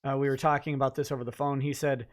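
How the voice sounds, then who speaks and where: A man's voice: mid-range voice, level tone, racing. A man hosting a casual livestream chat, with dry, wry asides. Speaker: host.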